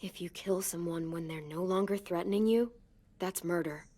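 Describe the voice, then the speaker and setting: A woman playing a tough, protective teenage girl survivor in a dramatic video game, speaking in a sober, measured way. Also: on the low side, mellow, lightly acted. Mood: gentle, sober. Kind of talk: naturalistic dramatic game acting. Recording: music under the voice.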